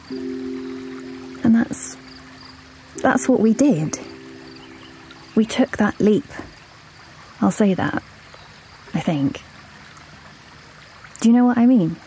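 An earnest female bird in an audio drama. She is unhurried and soft-edged, searching for words and repeating herself as she reflects. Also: medium pitch.

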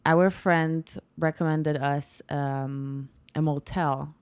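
This is a young woman from Poland with a Polish accent, whose voice sits low and sounds smooth and clear. She chats casually as a guest at a kitchen table. She tells her stories with lots of restarts and small detours. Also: slow pace. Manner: chatty, lively storytelling with many restarts. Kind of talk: casual chat. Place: home kitchen, music heard outside.

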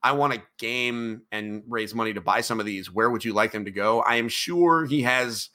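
A middle-aged man talks casually in a bright, forceful voice. He rambles, hesitating on ums and poking fun at himself. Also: mid-range voice, moderate pace. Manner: rambling, many ums, self-deprecating. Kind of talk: casual chat.

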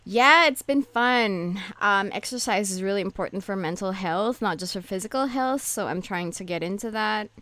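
A woman's voice: medium pitch, normal speed, hardly any pauses, pushed and bright. A young woman co-hosting a podcast, talking in an earnest, rambling casual way with many fillers. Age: young adult. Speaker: podcast co-host. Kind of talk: casual chat.

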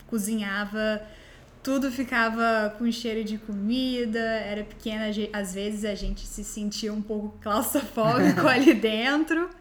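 A woman speaking in casual conversation, relaxed and explanatory. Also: mid-range voice.

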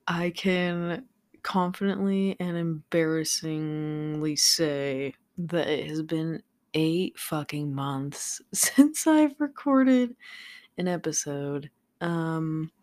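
A young woman from Southern California, talking in a low, unhurried voice that sounds a little raspy and worn out from the late hour. She rambles casually with plenty of ums and swearing, close to a dry microphone at home. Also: no echo. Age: young adult. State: tired, slightly raspy late at night. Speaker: podcast host. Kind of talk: casual solo podcast chat. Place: home room with a fan running. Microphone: studio microphone without pop filter.

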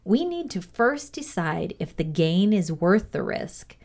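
A woman with a fairly low voice narrates an educational script. She reads aloud in a measured, deliberate manner, placing each phrase with care. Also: sing-song, a little mellow. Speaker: reader of a values lesson.

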